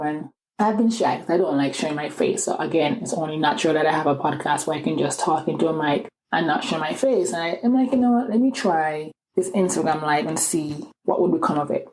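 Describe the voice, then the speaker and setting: A young woman with an Antiguan island accent hosts her own podcast, talking alone into the mic. Her voice sits low, and she keeps her talk upbeat and chatty, with plenty of casual asides to her listeners. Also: mellow, a little hiss or hum, rough recording. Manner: upbeat and chatty, many "you know" asides. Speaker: podcast host. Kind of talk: solo podcast monologue.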